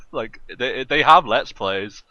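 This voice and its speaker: A man's voice: medium pitch, pushed and bright, no room sound. A man joking crudely and swearing as he chats casually as a co-host on a group podcast. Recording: dull, muffled voice-call microphone.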